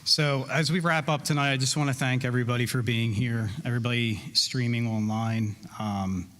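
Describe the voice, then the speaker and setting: A man's voice: mid-range voice, fairly even intonation, moderate pace, a bit bright, slight background noise. A man running for school board, opening his closing statement with thanks. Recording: reverberant hall.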